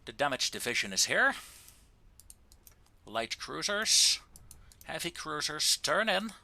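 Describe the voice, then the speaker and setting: A man giving casual, wry and understated commentary on a video game, with drawn-out pauses, into a close microphone. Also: a little high, very animated, gravelly, pushed and bright, no room sound.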